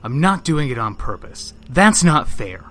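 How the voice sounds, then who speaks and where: An actor playing a glowering, defensive police lieutenant in an audio drama, with a brusque, dismissive manner. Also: male voice, medium pitch, big pitch swings, a little slow, fairly bright tone. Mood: defensive, heated.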